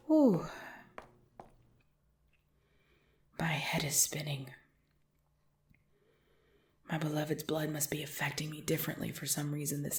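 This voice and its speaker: A woman playing a centuries-old vampire mistress in an ASMR audio drama, speaking formally in a breathy, measured voice. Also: sits low, heavily acted. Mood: weary, faint. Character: centuries-old vampire mistress, female, cold ruler turned protective.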